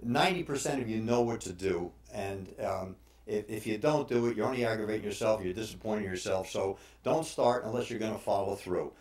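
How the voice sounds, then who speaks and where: Halting, low-voiced man in his sixties. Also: a little mellow. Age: 60s. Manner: halting, hesitant delivery with frequent pauses. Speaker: bodybuilder and personal trainer. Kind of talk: informal advice talk about his own training. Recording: roomy, echoing room sound.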